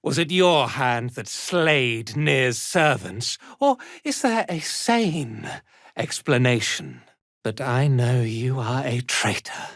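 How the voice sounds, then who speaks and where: A man's voice: medium pitch, a bit bright, dry recording, clean studio sound, heavily acted. A man playing a haughty, cruel drow cult commander in a fantasy video game, speaking in an imperious, menacing, theatrical way. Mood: scornful anger. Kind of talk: dramatic fantasy game voice acting.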